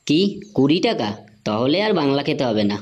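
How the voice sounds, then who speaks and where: A man's voice: medium pitch, lively intonation, a little fast, slight background noise, natural voice. A man voicing a cocky, irritable young slacker in a comedy cartoon, with brash slangy retorts.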